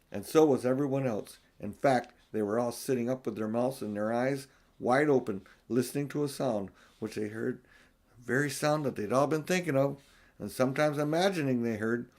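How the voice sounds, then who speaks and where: An older man reads a children's story aloud as an audiobook narrator. His pitch sits in a middle range, and he tells the tale expressively. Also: a little fast. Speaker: audiobook reader.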